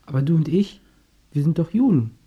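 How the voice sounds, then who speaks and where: A man's voice: fairly high pitch, animated, a little fast, husky, highs cut off. An actor playing a curious, earnest teenage boy in a radio drama, with a questioning manner.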